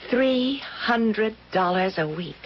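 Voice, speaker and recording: A woman's voice: a little high, animated, slow. An actress playing an earnest, kindly working woman, tentative and conversational, in an old-time radio drama. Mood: friendly, confiding. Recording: dull, noisy vintage radio recording.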